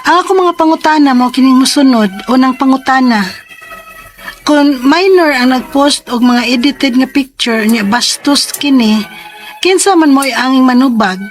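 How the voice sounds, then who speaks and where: A high, girlish voice from an actress plays a troubled schoolgirl in a radio drama. She sounds earnest and plaintive as she pours out her problem. Music runs behind her. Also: normal speed, lightly acted, low-quality recording. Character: distressed teenage schoolgirl confiding in her mother. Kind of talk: Cebuano radio drama acting and letter narration.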